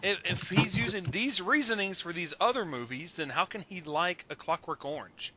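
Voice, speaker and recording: A man's voice: high-pitched, animated, normal speed, pushed and bright. A man in a casual film debate on a voice call, speaking emphatically and repeating words to insist on his point.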